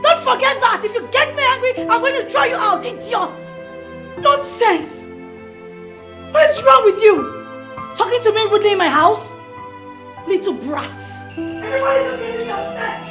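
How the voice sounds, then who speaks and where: A woman's voice: extremely high pitch, animated, normal speed, long pauses, fairly bright tone, soft background music. A Nigerian woman acting an entitled, domineering rich young woman in a screen drama, haughty and possessive. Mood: furious. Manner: haughty, imperious.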